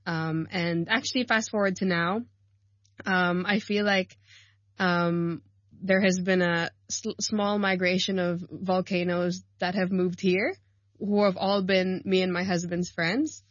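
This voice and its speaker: A woman in her thirties talks in a slightly low voice as a guest on a radio show, rambling warmly with lots of ums and quick laughs. The studio sound is dry and close, with a touch of dullness in the audio. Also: fairly even intonation, no room sound, highs cut off. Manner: chatty and warm, laughs often, many ums and likes. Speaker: guest, rugby player and coach. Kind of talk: casual radio interview. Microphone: studio mic.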